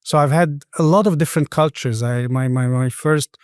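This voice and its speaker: A middle-aged man who grew up between the Middle East and Sweden talks as an interview guest. His voice is smooth and relaxed, recorded close and dry. He speaks reflectively, often repeating words as he feels for the next phrase. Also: a little high, moderate pace, no echo. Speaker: podcast guest.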